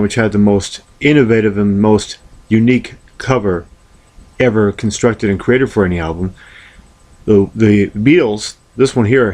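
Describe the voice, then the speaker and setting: A man with a fairly low voice talks through items from a collection in an unscripted review. He is deliberate and halting, often going back over a word or phrase before carrying on. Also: darkish tone. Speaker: music collector presenting albums. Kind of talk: unscripted show-and-tell review.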